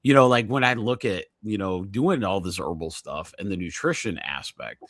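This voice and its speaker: A man's voice: low-pitched, animated, moderate pace, fairly bright tone, no room sound, studio quality. A man hosting a podcast as a chiropractor, chatting casually with a guest, laughing easily and using lots of fillers. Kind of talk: casual interview chat.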